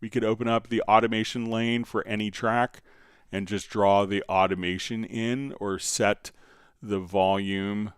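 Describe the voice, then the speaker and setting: Smooth, low-voiced man hosting a tutorial. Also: little pitch movement, measured pace, dry recording, studio quality. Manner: conversational, explanatory, step by step. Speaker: livestream host and software instructor. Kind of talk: casual live software tutorial answering viewer questions.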